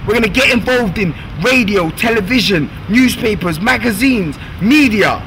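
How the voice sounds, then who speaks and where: Young man, high voice, passionate public address with heavy background noise. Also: expressive, normal speed, fairly bright tone, poor audio. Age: young adult. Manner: rhetorical, repetitive, rising urgency. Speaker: youth speaker giving a speech. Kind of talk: rousing speech to a crowd.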